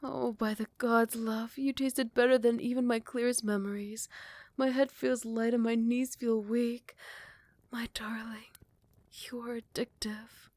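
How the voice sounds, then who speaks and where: A woman playing a possessive yet tender royal vampire in love, speaking in formal, old-fashioned phrasing with breathy half-whispers in an intimate ASMR roleplay. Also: fairly high pitch, little pitch movement, measured pace, darkish tone, no echo. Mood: dazed, adoring.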